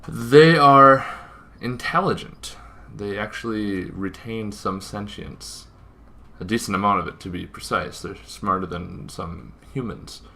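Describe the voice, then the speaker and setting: A man's voice: fairly low pitch, a little slow, gravelly, pushed and bright. A man hosting an informal explainer, talking in a rambling way with asides and self-corrections. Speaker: host of a tabletop gaming show.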